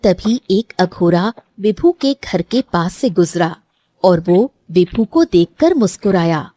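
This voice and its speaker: A woman's voice: lowish voice, expressive, takes it slowly, dark tone, dry recording, faint music behind. A woman narrating a scripted story as a storyteller.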